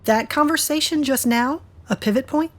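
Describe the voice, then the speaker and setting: A woman's voice: high-pitched, normal speed, close to an everyday voice. A woman acting in an audio drama as a defiant, sarcastic woman with a teasing, flirty streak. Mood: wry, guarded. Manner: sardonic, teasing.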